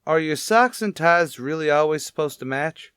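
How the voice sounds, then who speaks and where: A man playing a steady, low-key police detective in a radio drama, understated and casually probing. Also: normal speed, clean tone, no room sound, clean studio sound. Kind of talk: old-time radio police drama acting.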